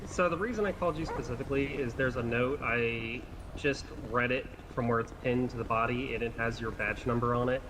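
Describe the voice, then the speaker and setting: A man's voice: medium pitch, a little fast, hardly any pauses, close to an everyday voice, poor audio. A man playing a matter-of-fact police officer at a crime scene in improvised game roleplay. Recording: clear background ambience noise.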